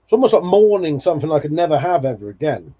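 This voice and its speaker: A man in his forties talks loosely on a live stream, reading viewer comments and drifting into nostalgic memories. He swears freely and laughs often, and his voice sits a little high for a man. The sound is dull and lo-fi. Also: very animated, quick pace, mellow. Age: 40s. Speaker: livestream host.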